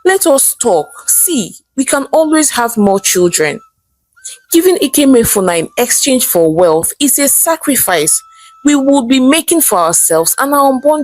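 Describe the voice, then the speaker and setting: A woman with a Nigerian accent voicing an anxious, persuasive husband in a folktale, pleading with drawn-out words. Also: fairly high pitch, pitched above the performer's own voice.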